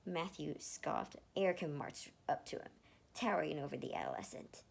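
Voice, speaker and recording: A woman reading fan fiction aloud in an expressive amateur way, sometimes stumbling and re-reading words. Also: deep voice, moderate pace, gravelly, slightly rough. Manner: animated, stumbles and re-reads words.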